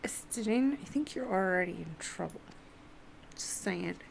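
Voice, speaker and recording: A woman's voice: fairly low pitch, animated, unhurried, gravelly. A woman streamer giving casual, wry and playful live commentary on a game. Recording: faintly noisy live stream.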